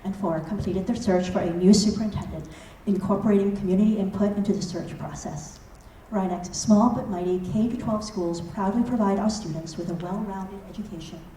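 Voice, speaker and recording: A woman delivers a formal prepared statement as a board member running again. Her voice is mellow and warm in tone. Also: mid-range voice, a little fast, a little hiss or hum, low-quality recording. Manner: formal, reads a prepared speech. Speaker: school board member seeking re-election. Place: public forum room.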